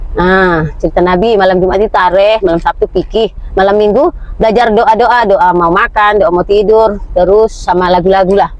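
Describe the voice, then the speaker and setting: A middle-aged woman with a North Sumatran Indonesian accent tells stories in a loose, colloquial chat. Her voice sits at an average pitch. The sound is dull and lo-fi. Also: steady tone, moderate pace, no echo. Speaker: guest, mother of the vlogger. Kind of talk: casual storytelling chat.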